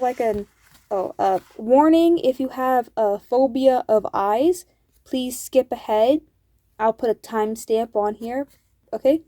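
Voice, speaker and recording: A woman art vlogger with a slightly raspy, hoarse voice, chatting casually in a voice-over and rambling with lots of "like" fillers. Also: on the high side, mellow, dry recording. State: throat hoarse from cold air.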